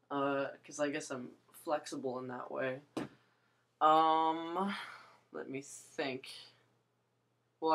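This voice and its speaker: A young woman with a low voice, rambling hesitantly through a casual video monologue with many fillers and restarts. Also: a bit bright. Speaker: vlogger.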